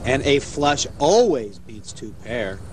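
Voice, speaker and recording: A man's voice: medium pitch, big pitch swings, takes it slowly, a little mellow, rough recording. A man doing play-by-play television commentary on a poker game. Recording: faint background noise, dated TV broadcast.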